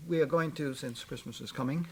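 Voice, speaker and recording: Gravelly-voiced male radio interviewer. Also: mid-range voice, fairly quick. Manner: chatty, rambling questions with frequent murmured agreement.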